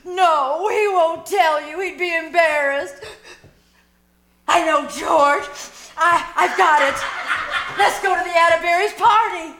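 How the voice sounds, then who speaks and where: A woman's voice: extremely high pitch, takes it slowly, sharp tone, heavily acted. A woman playing a dramatic, scheming young housewife in a 1940s-style radio comedy, with exaggerated theatrical delivery. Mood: eager, bossy. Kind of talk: 1940s radio comedy acting, live performance. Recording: roomy live stage pickup, noisy.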